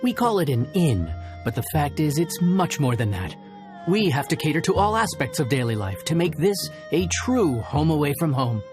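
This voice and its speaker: A man's voice: on the high side, big pitch swings, normal speed, over music. A man voicing a level-headed, polite and modest young inn chef in a video game. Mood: courteous.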